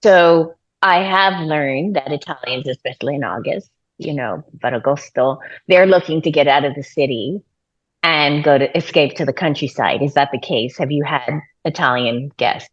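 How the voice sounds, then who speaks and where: A woman's voice: deep voice, normal speed. A middle-aged woman hosting a casual interview over a dull-sounding video call, chatty and encouraging. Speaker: interviewer, host. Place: bright room at home, on a video call.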